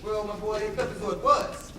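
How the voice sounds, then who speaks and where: A man's voice: high voice, steady tone, a little fast, natural voice. A man playing a storyteller who narrates a Victorian family tale on stage. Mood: storytelling. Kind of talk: theatrical scripted narration. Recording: echoey, distant, noisy stage recording.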